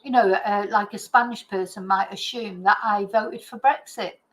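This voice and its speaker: An English woman speaks in a medium-pitched voice, as if chatting to her followers. She gives opinionated commentary in a loose, conversational style. Her delivery rambles and leans firmly on the points she wants to stress. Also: fairly even intonation, moderate pace. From Britain. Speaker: true crime chat host. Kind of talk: casual chat, opinionated commentary.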